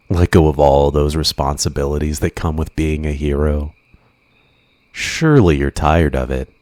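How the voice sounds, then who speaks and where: A man playing an obsessive, flirtatious supervillain in an ASMR roleplay, drawing out teasing, softly menacing endearments in a possessive way. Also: very deep voice, no room sound, studio quality.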